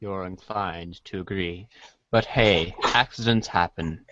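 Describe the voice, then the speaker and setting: A man's voice: deep voice, takes it slowly, sharp tone, no room sound, highs cut off. A man reading scripted second-person narration as a storybook narrator.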